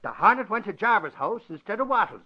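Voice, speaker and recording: High-pitched, excitable Irish sleuth. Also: male voice, quick pace. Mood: excited, proud. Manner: folksy, exclamatory. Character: excitable, boastful Irish bodyguard turned amateur sleuth. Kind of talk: 1940s radio acting. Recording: dull lo-fi vintage radio broadcast.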